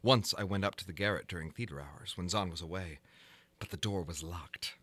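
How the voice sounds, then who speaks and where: A man with a fairly low, sharp-edged voice narrates gothic horror as a storyteller. His delivery is theatrical and deliberate, shaped for ornate literary prose. The recording is dry and close, without room sound. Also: slightly rough, dry recording. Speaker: narrator, audiobook reader.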